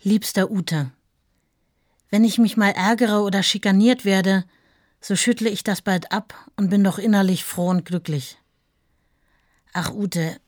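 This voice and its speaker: A woman's voice: medium pitch, no echo, studio quality, lightly acted. An actress playing a devoted, earnest woman reading out her love letters intimately in a radio play. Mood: tender.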